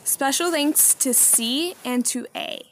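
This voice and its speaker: A woman hosts a podcast in a scripted style. Her voice is fairly high and she speaks slowly, with faint music behind her. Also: a bit bright. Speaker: podcast host. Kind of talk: scripted intro and credits.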